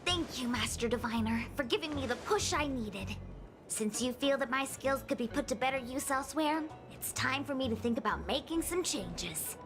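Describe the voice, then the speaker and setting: Casting: a young female diviner in a game, high voice, cheeky, earnest and chatty, over prominent music. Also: lively intonation, normal speed. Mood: resolute. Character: plucky young female fortune-teller, cheeky but earnest helper. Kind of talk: video game voice acting.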